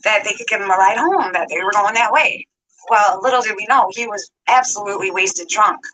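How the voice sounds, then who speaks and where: Bright-voiced woman telling a rambling story. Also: mid-range voice, fairly quick, rough recording. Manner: rambling, adds details as she goes. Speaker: vlogger telling her story.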